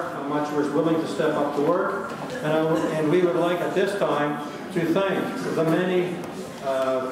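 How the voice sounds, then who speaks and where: Older male emcee, a little high-pitched, halting delivery, heard from afar in a roomy hall. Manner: halting, with many fillers and restarts. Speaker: master of ceremonies. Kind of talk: event announcements. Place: large church banquet hall. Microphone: handheld mic at a lectern. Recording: echoey hall from far off, crowd. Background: crowd of banquet guests.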